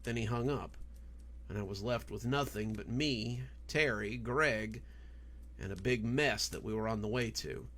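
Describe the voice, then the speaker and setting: A man's voice: fairly low pitch, measured pace, fairly bright tone. A man reading a first-person horror story as a scripted audiobook narration.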